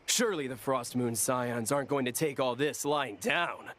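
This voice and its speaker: An actor playing a plain-spoken, concerned travelling companion in a video game. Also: male voice, mid-range voice, moderate pace, fairly bright tone, slight background noise, close to an everyday voice.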